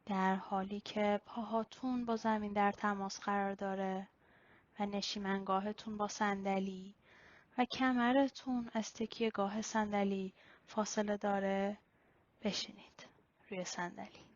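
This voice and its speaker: A woman leading a guided body-scan meditation in a slow, instructional way with pauses. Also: medium pitch, fairly even intonation. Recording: slightly dull, lo-fi recording.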